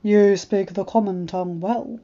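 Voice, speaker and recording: A woman's voice: medium pitch, fairly even intonation, unhurried, mellow, highs cut off, lightly acted. A woman reading aloud and voicing a solemn, formal male dragon who speaks with grave deference. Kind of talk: fan fiction audiobook reading.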